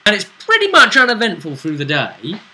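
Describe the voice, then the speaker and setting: A man's voice: high-pitched, huge pitch swings, fairly quick, bright, forceful tone, poor audio. A man chatting through a recap of a tabletop role-playing session as game master, in a bantering, conversational storytelling way. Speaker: game master and show host.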